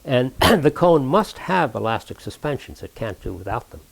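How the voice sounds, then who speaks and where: An older man recounting technical work for an oral history, precise and formal, speaking deliberately with halting pauses mid-sentence. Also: medium pitch, sing-song, creaky. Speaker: inventor recounting his own work.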